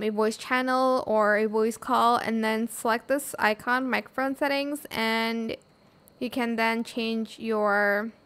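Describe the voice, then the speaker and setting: A woman hosting a casual software tutorial, talking viewers through the steps. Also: a little high, clean tone. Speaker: tutorial host. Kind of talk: casual screen tutorial narration.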